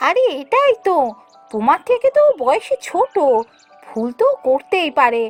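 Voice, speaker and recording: A woman voicing a gentle, pleading young village wife in a cartoon fairy tale, sweet and coaxing. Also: very high voice, big pitch swings, moderate pace, faint music behind.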